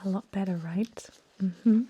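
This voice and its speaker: A woman playing a calm, reassuring ancient vampire medic in a whispered ASMR roleplay, speaking gently in a breathy whisper. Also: medium pitch. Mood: soothing, reassuring. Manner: gentle, unhurried, hesitant with soft explanations. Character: calm, reassuring thousand-year-old female vampire medic.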